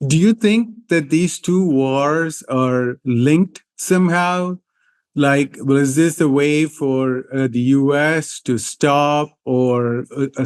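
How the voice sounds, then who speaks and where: Slow-talking male podcast host. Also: medium pitch, darkish tone, clear, resonant tone. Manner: slow, halting, many fillers. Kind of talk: interview hosting.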